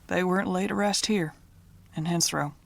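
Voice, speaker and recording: Actress as creaky-voiced, folksy pastor's wife. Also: female voice, fairly low pitch, fairly even intonation, normal speed, no room sound. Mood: guarded. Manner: folksy, genteel, euphemistic. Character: polite, devout small-town pastor's wife, guarded, thirties. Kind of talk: screenplay table-read acting.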